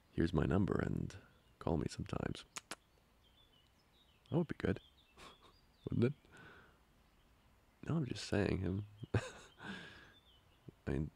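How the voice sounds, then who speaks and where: A man playing a jealous, protective young boyfriend in an improvised ASMR roleplay, grumbling with halting, sarcastic asides. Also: darkish tone, no echo, heavily acted. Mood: playful, joking. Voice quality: very deep, gravelly, husky.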